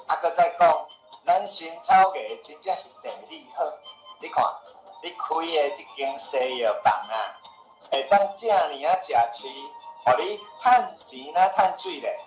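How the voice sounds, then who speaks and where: A grumbling, skeptical Taiwanese house seller in a radio drama. His voice sits fairly high and he argues with scoffing exclamations. The room echoes and faint music plays behind. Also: expressive, unhurried. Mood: defensive, boastful. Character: skeptical, boastful, grumbling male house seller and neighbourhood boss. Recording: roomy echo with music behind.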